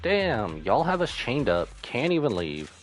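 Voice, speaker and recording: A man's voice: medium pitch, huge pitch swings, unhurried, soft background music. A man giving casual, blunt and sweary reaction commentary as a game streamer.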